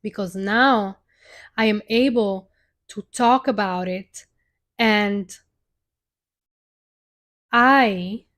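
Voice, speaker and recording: A woman's voice: mid-range voice, very slow, long pauses, a bit bright. A young woman with an Italian accent, a native Italian speaker, telling her own story on a live stream in an earnest way, repeating and restarting phrases as she goes. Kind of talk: personal storytelling monologue.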